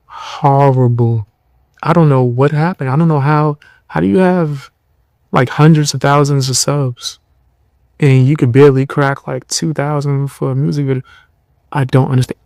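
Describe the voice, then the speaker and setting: A tired young man hosting a podcast, giving blunt, mocking commentary in loose slang with a low voice. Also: gravelly, a little mellow, no room sound, studio quality. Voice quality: lower voice. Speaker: podcast host. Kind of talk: casual commentary.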